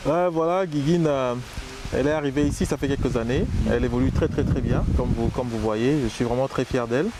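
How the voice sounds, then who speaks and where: A man with a Congolese French accent speaking earnestly about education, halting with fillers. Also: mid-range voice, heavy background noise. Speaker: agency founder, guest.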